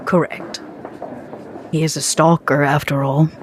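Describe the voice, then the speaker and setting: A woman's voice: low-pitched, flat delivery, unhurried, a little hiss or hum. A woman playing a cold, regal, century-old vampire with a clipped, formal and imperious manner in a tabletop game. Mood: cool, controlled.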